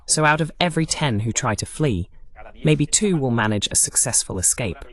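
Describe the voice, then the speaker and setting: A man's voice: mid-range voice, lively intonation, normal speed. A man hosting a political analysis monologue, explaining emphatically with rhetorical questions and lists. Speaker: host and political commentator.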